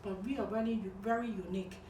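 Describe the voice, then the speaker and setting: A woman from Hong Kong with a Hong Kong accent, speaking in a corporate training talk with plain, simple phrasing and frequent pauses. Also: mid-range voice, steady tone, distant mic. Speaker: company executive. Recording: roomy, from off-mic, with background noise.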